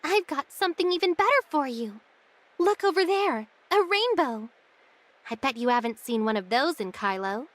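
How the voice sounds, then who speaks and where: A woman's voice: high-pitched, sing-song, normal speed, fairly bright tone, no echo. A woman playing a confident, teasing but caring young female guide in an anime-style game. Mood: reassuring. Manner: breezy, teasing.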